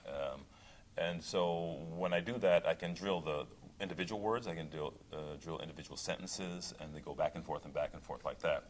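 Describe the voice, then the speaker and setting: A middle-aged male opera singer talking in a relaxed interview, thoughtful, with many fillers and restarts. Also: very deep voice, normal speed, slight background noise. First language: English. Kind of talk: casual interview talk.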